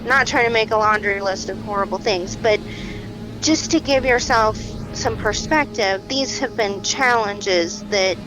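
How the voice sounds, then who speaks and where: A woman playing an encouraging, practical clinician in a naturalistic audio drama, talking in a reassuring, gently coaxing, conversational way. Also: medium pitch, a little slow, over music, close to an everyday voice, poor audio. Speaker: doctor or therapist.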